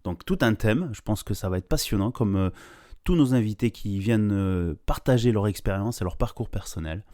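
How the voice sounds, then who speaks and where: A man's voice: fairly low pitch, animated, moderate pace, warm tone. A man speaking as a podcast host, presenting and interviewing in a conversational style.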